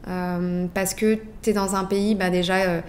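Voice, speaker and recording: Lively, candid woman guest. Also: medium pitch, a little hiss or hum. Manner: candid, lively, quick to laugh. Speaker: podcast guest. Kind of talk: casual conversational interview.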